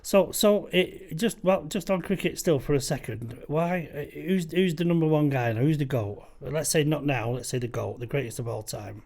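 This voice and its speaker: A man hosting a podcast, chatting with curiosity, laughing easily and trailing off quietly at the ends of his sentences. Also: fairly high pitch, normal speed, hardly any pauses. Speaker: podcast host. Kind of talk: casual interview chat.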